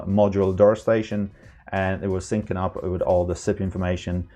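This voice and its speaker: A man presenting an informal, unscripted software tutorial, explaining step by step in a halting, hesitant manner. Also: sits low, muffled recording. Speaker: product trainer, tutorial presenter.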